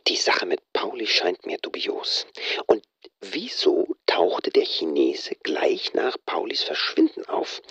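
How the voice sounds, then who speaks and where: Deep, husky, secretive dictator of notes. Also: male voice, a bit bright, no room sound, lo-fi sound, heavily acted. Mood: suspicious. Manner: clipped telegraphic phrases, muttered, often whispering. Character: secretive, suspicious man dictating private notes. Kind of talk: radio drama monologue, dictated diary.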